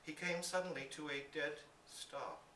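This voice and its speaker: A man reading a literary short story aloud to listeners as an expressive storyteller, recorded live indoors. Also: on the high side, a little slow. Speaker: story reader. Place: indoor public reading in a bookstore. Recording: roomy, distant live recording with hiss.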